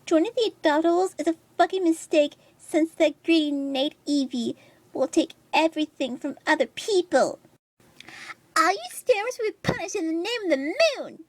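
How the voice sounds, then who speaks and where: A woman puts on a very high, whiny young female artist in an online rant video. She is aggrieved, self-pitying and vengeful, complaining melodramatically in a close, dry recording. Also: lively intonation, normal speed, dry recording. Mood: petulant.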